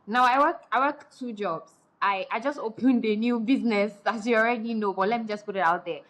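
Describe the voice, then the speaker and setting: A woman's voice: on the high side, brisk, a bit bright. A young woman from Nigeria and Ghana, a podcast guest talking in a laid-back, wry way. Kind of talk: casual chat.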